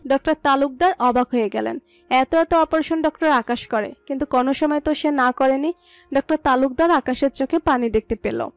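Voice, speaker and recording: Female storyteller, scripted romantic-drama narration, high voice, dry of nothing but faint echo-free music bed. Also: fairly quick.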